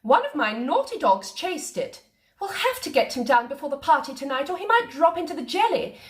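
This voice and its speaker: A woman's voice: sits high, very animated, a little fast, voice pushed up. A woman voicing a flustered, grand old queen in a children's storybook reading. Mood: worried.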